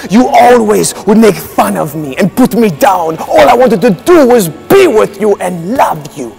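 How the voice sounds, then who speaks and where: An actor playing a grandiose comic villain professor with a wounded streak and a put-on European accent, in a theatrical skit. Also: male voice, high voice, big pitch swings, measured pace, vocal fry, soft background music, full character voice. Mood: bitter, wounded. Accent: put-on foreign European accent. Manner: grandiose, theatrical, swinging from shouts to hushed asides. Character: melodramatic male comic villain professor, wounded younger brother. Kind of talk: comic villain monologue in a children's church skit.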